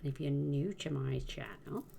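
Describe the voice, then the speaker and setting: A woman hosting a casual card-making tutorial, chatting in a homely way as she works, her voice a little croaky. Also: a bass voice, moderate pace, warm tone, a little hiss or hum. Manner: chatty, homely, talks while working. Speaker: card-making channel host.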